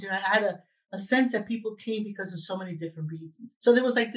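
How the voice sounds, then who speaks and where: A woman's voice: mid-range voice, low-quality recording. Older woman recalling her life thoughtfully. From born in Cuba, raised in Brooklyn, New York. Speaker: guest, seminary dean and professor. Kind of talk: reflective storytelling interview.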